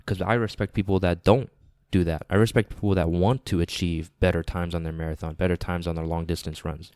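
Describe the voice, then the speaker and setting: A man giving a casual, earnest podcast monologue, repeating phrases for emphasis, slightly raspy and nasal with congestion from a lingering cold. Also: deep voice, fairly even intonation, fairly quick, a little mellow, no echo, clean studio sound. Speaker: podcast host. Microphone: close podcast microphone.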